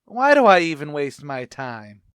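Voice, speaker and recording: A blustery, self-important tavern manager, voiced by a man, in old-time radio comedy style. His wisecracks leap all over the pitch range. The recording is close and dry. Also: very animated, a little slow, fairly bright tone, clear, resonant tone, dry recording. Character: boastful wisecracking male tavern manager, adult.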